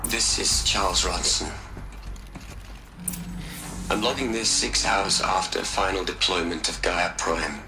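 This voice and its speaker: Older male scientist, deep voice, bitter, grieving, deliberate, game audio log, music underneath. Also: fairly even intonation. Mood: grave, resolute. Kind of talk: scripted audio log monologue. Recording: music behind the voice.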